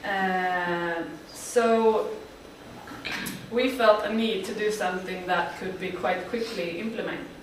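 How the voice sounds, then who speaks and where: A woman's voice: mid-range voice, fairly even intonation, slow, noisy background. A woman from Sweden giving a team project presentation to an audience, with frequent fillers. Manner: speaks with frequent uh fillers, friendly and plain. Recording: echoey hall, voice from far off.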